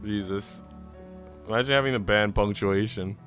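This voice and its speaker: A man's voice: fairly low pitch, moderate pace, fairly bright tone, soft background music. A man live streaming, reading a visual novel aloud and dropping into casual, slangy asides to his chat. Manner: informal, slangy, chatty.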